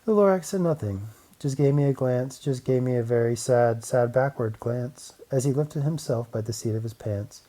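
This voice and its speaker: A man narrating a rhyming children's audiobook, with an expressive, emphatic and rhythmic dramatic delivery. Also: medium pitch, normal speed, hardly any pauses. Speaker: audiobook reader.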